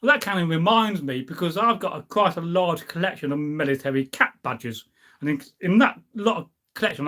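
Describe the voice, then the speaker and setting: Higher-pitched man chatting casually. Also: a bit bright. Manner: informal, digressive, anecdotal. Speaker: live stream host, history enthusiast. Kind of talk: casual rambling chat, reading out and answering viewer comments.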